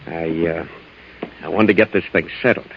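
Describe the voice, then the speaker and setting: A man's voice: low voice, moderate pace. An actor playing a tough, determined young American working man with slangy, plain-spoken delivery in a 1950s radio drama. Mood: accusing. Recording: muffled, hissy old radio recording.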